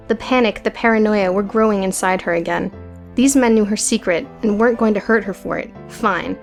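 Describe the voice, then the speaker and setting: A woman reading scripted, dramatic audiobook narration. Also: medium pitch.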